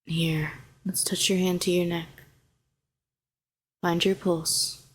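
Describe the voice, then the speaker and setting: A woman performs a telepathic villainess in an intimate audio drama. She is a fallen hero with a low voice, sardonic on the surface and protective underneath. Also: fairly even intonation, measured pace, natural voice. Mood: soothing, tender. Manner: sharp and sardonic, turning soothing and coaxing. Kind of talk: intimate scripted audio roleplay.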